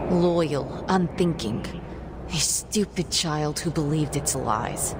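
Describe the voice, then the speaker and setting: An actress playing a guarded, solemn young sorceress haunted by her childhood, speaking formally and deliberately in a video game drama. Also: deep voice, background noise, full character voice. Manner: formal, measured, brooding wording without contractions. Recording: loud music behind the voice. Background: music.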